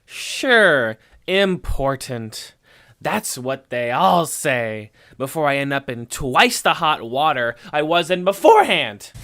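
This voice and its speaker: A man playing a jaded, irritable outlaw in an audio drama, gruff, sarcastic and exasperated. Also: on the high side, sing-song, slow, a bit bright, no echo, full character voice. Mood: irritated, sarcastic. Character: irritable, jaded male outlaw trying to relax. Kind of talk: dramatic audio fiction acting.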